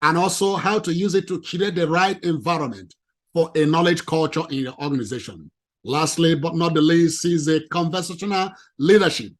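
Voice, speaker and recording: A man with a non-native English accent giving an animated, emphatic presentation to a professional audience. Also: on the high side, normal speed. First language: not English. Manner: emphatic, lively, addresses ladies and gentlemen.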